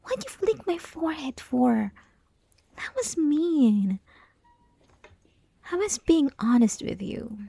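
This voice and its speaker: Woman acting a soft, high-voiced clingy yandere schoolgirl. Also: big pitch swings, vocal fry, dry recording, exaggerated voice. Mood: playful pouting. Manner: coy, teasing, pleading, halting, often dropping to whispers. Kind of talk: ASMR roleplay, intimate scripted monologue.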